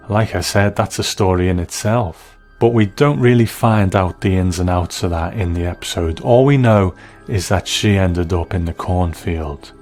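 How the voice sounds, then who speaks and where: A man giving conversational review commentary, reflective and measured, as narrator. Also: deep voice, darkish tone.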